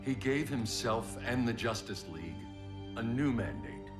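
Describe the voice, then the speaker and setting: A man playing a grim, middle-aged vigilante in a video game, with a gravelly growl and solemn, terse delivery. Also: mid-range voice, big pitch swings, a little slow. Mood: grave, somber. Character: grim, stern middle-aged male vigilante crimefighter and father. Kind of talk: video game cinematic acting and narration. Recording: echoing voice under loud music.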